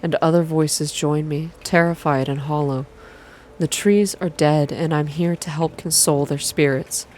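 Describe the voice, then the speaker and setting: A woman reading a story aloud in a calm, slow, hushed ASMR style. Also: sits low, a little hiss or hum. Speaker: reader of a visual novel for an online channel.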